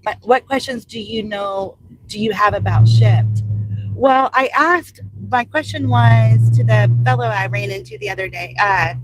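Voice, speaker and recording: A woman's voice: medium pitch, unhurried, lo-fi sound. An older American woman from the Louisville area, chatting casually on a live stream while driving deliveries, rambling and answering viewers' comments, a bit congested from allergies. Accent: Southern Indiana / Louisville area. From United States. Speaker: vlogger driving deliveries, reading live chat. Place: in a car.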